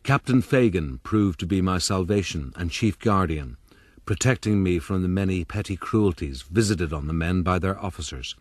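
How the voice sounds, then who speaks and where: An actor playing a gentleman recounting his youth in a measured, literary way, as radio drama narration. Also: low-pitched, animated, dry recording. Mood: reflective.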